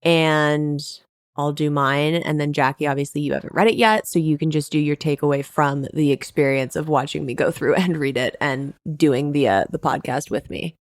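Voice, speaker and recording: Low, smooth-voiced woman podcast host. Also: moderate pace, dry recording, studio quality. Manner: candid, profane. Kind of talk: casual podcast conversation.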